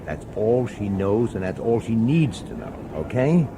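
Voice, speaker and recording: An actor playing a blunt, world-weary police detective with an impatient manner, in an old radio drama. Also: male voice, fairly low pitch, sing-song, unhurried, background noise. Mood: wary, terse. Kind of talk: old-time radio drama acting and narration.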